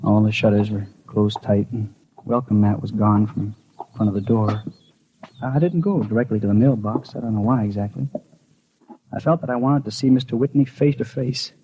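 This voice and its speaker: A man's voice: lowish voice, moderate pace, dark tone, dull audio, slight background noise, close to an everyday voice. An actor playing an anxious, imaginative postman who narrates his suspicions and stammers with others, in 1950s radio drama style. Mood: anxious brooding. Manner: hesitant and stammering when speaking to others. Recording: 1950s radio broadcast with music.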